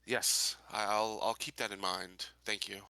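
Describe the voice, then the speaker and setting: A man's voice: fairly low pitch, level tone, normal speed, sharp tone, no echo, rough recording. A man playing a tabletop roleplaying game, talking casually as a player.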